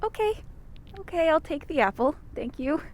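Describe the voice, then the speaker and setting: A woman's voice: high-pitched, sing-song, normal speed, a little mellow. A woman acting as an anxious, skeptical young investigator in an audio drama, with a nervous, exclamatory manner. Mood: polite, wary.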